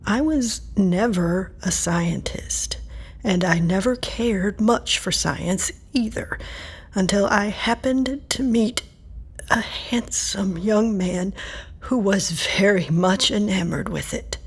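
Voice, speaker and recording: An actress playing a dying woman in an audio drama, tender and stubborn, speaking softly and haltingly in a husky, breathy voice. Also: medium pitch. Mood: wistful, fading.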